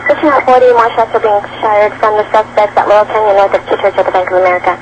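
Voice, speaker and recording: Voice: medium pitch, racing. A police dispatcher relaying unit reports in clipped procedural phrases over a radio channel. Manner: clipped, procedural, repeats messages. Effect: police radio transmission. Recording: muffled, noisy police radio band.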